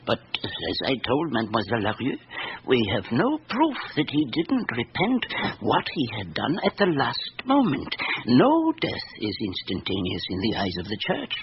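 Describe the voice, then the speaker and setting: An ancient priest with swooping intonation. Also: male voice, mid-range voice. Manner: formal, hesitant, pedantic. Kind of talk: radio drama acting. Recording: muffled, noisy old radio recording.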